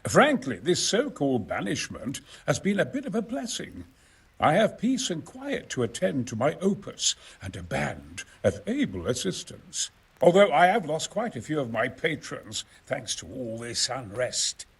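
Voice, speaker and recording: An actor playing a genial, self-important exiled engineer in a video game, talking in a wry, hesitant, gentlemanly way. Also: male voice, medium pitch, huge pitch swings, measured pace, gravelly, slightly rough, heavily acted. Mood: wry, explanatory. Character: genial, erudite exiled male engineer, self-important about his opus. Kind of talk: video game cutscene acting.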